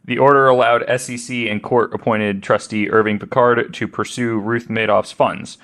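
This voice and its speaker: A man's voice: mid-range voice, steady tone, normal speed, fairly bright tone. A man hosting a podcast, reading out a true-crime account with joking asides. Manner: joking, laughs often. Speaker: podcast host.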